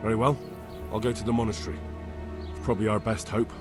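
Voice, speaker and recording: A quick-talking, fairly low-pitched young man of a medieval world, played by a male game actor. He is an earnest envoy, direct and purposeful, and stays polite. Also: steady tone, over music, natural voice. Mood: determined. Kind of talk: video game voice acting.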